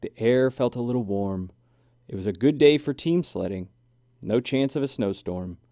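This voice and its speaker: A man reading a children's story aloud in an expressive, deliberate, sing-song way. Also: mid-range voice, no echo, clean studio sound. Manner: animated, deliberate, sing-song rhyming delivery. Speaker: children's book reader.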